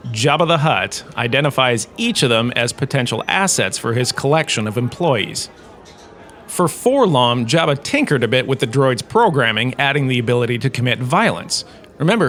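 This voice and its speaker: A man's voice: mid-range voice, a bit bright. Guy playing a smug has-been space cantina lecturer, wry n chatty, voice jumps around a lot, hammy emphasis. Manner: wry, conversational, theatrical emphasis. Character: self-important washed-up lecturer holding forth in a space cantina. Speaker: host, lecturer. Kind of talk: scripted comedic lore lecture, narration.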